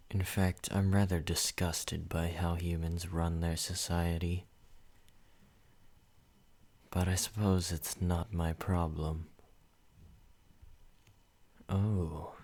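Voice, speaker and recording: A man playing a slow, deep-voiced vampire. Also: a little mellow. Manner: slow, low and measured, addressing the listener. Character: calm possessive male vampire host. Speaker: ASMR roleplay creator. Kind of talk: ASMR roleplay monologue.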